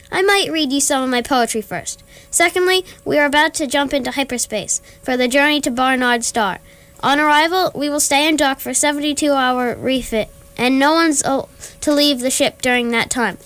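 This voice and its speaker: Radio play: officious alien captain woman performer, high voice, pompous and curt, bitter bully making announcements. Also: a bit bright. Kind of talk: amateur radio theatre performance.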